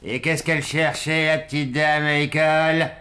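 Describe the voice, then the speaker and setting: A man's voice: on the high side, level tone, normal speed, very noisy, close to an everyday voice. A man playing a brusque police chief in a French cartoon dub. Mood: condescending. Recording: loud music behind.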